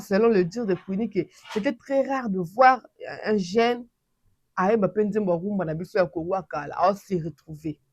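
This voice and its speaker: A woman from Congo talks to her audience in a Congolese French accent, lively and full of restarts. Her voice sits at an ordinary middle pitch. The sound is dry and close, with no room around it. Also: no echo. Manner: animated, many restarts, mixes French and Lingala. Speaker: channel host and commentator. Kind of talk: casual commentary to camera.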